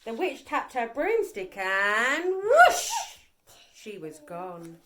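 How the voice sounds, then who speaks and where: A woman reading a children's bedtime story aloud in an animated, rhythmic, dramatic way. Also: a little high, extremely slow. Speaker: nursery staff member reading a story.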